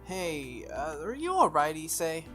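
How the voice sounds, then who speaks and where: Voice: mid-range voice, wildly swooping pitch, a little slow, a bit bright, soft background music. Easygoing, curious teenage schoolboy hero, read by a streamer. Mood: curious, puzzled.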